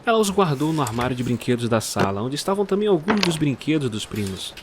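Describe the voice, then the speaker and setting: A man narrating a dark Christmas tale in a measured, suspenseful manner that speaks directly to the listener. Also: fairly high pitch, sing-song, noisy background. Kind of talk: scripted storytelling narration.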